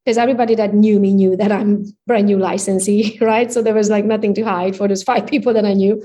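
A woman in her forties from Romania with a Hungarian accent, telling her story as an interview guest in an upbeat, animated way. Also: medium pitch, fast. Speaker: real estate agent guest. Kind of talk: casual interview chat.

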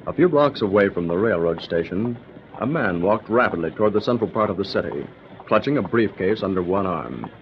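A man narrates in grand 1940s radio style with a fairly low voice. His delivery is emphatic and theatrical, and the old recording is muffled and noisy. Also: lively intonation, brisk. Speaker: announcer, narrator. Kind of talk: dramatic 1940s radio announcing, scripted narration.

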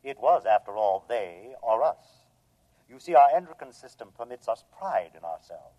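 A coldly polite, superior humanoid robot. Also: male voice, medium pitch, very animated, normal speed. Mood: coolly menacing. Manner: formal, precise, matter-of-fact speech without contractions. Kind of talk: old-time radio drama acting. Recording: dull, lo-fi old radio recording.

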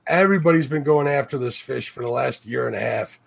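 A man's voice: medium pitch, a little fast, vocal fry. A man from Chicago with a Chicago accent, hosting a fishing livestream in a rambling, self-correcting chat.

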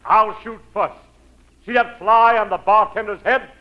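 A man's voice: high-pitched, steady tone, unhurried. An actor playing a tough, boastful male gunslinger in a Western comedy. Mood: brash. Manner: brash, challenging. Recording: dull old recording with loud hiss.

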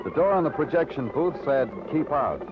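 A man's voice: a little high, animated, normal speed, close to an everyday voice. Male actor as world-weary police detective, clipped and dry, old radio drama, muffled recording, music behind. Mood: wry, brooding. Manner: hard-boiled, clipped questioning, dryly poetic narrating. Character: world-weary, wry New York police detective, man. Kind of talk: 1950s radio crime drama acting and narration.

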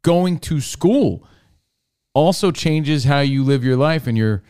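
A man's voice: a little high, expressive, slow pace, darkish tone, smooth. A man talking in a casual podcast chat. He is a parent and rambles in a laid-back, jokey way. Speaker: podcast co-host.

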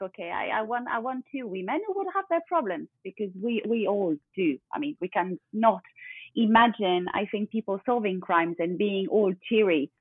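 A woman's voice: medium pitch, no room sound. A woman with a French accent, a native French speaker, talking casually as an interview guest, thoughtful and sometimes searching for English words. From France. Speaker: guest author. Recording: tinny phone-like call line, dry.

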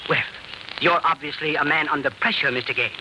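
A man's voice: fairly high pitch, brisk, lightly acted. An actor playing an over-polite, insinuating insurance man with formal, unctuous speech in an old radio drama. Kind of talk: 1940s radio acting. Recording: hissy old radio recording.

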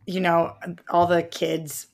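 A woman's voice: on the low side, monotone, takes it slowly, gravelly, a little mellow. A woman co-hosting a movie show, chatting in an informal, slangy way about films. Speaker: co-host of a movie news show. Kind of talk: casual movie discussion.

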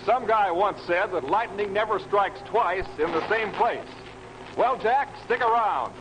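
High-pitched 1940s radio announcer man. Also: a little slow, low-quality recording. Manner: theatrical, alliterative patter. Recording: old radio recording with loud music.

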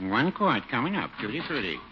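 A mild small-town druggist played by a man in an old radio comedy, folksy and dry. His mid-pitched voice wanders up and down, on a muffled, noisy recording. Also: very animated, brisk, lightly acted. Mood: obliging. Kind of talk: 1940s radio comedy acting.

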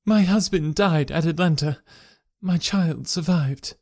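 A man voicing a Victorian wife in a high, falsetto-like voice, imploring and firm. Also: unhurried, darkish tone. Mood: proud. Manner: imploring, then proud and firm. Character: proud, pleading Victorian wife, woman.

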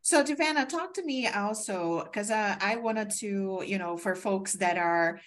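A woman's voice: medium pitch, a little slow. Chatty woman podcast host. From Brazil. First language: Portuguese. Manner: effusive, chatty, many fillers. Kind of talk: casual interview.

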